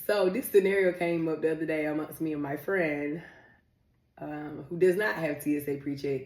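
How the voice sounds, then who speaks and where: Woman co-host, low voice, chatty and playful, slightly under the weather; echoey room. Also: steady tone, darkish tone. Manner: chatty, fast-talking, playful teasing. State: recovering from a cold. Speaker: podcast co-host. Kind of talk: casual chat.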